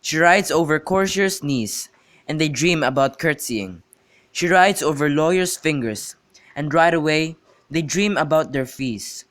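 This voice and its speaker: A young man in an amateur radio drama, playing a witty, teasing young gentleman and declaiming in a bantering way. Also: on the high side, expressive, unhurried. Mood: fanciful storytelling. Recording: clearly noisy amateur recording with clicks.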